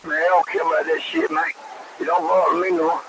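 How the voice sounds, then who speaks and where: A man's voice: a little high, sing-song, a little fast. A man bantering casually over CB radio in a coarse, teasing way, swearing freely. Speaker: CB radio operator. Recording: noisy CB radio transmission.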